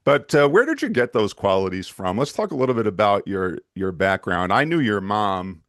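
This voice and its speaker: A man's voice: fairly low pitch, big pitch swings, moderate pace, a bit bright, no echo, studio quality. A man hosting an interview show, asking questions in a friendly, hesitant way with frequent restarts. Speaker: talk show host. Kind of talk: conversational interview.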